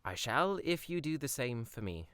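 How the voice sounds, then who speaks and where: A man's voice: medium pitch, very animated, moderate pace, fairly bright tone, clean tone, no room sound. A man playing a courteous, earnest young prince in an amateur fairytale audio drama, polite and slightly formal.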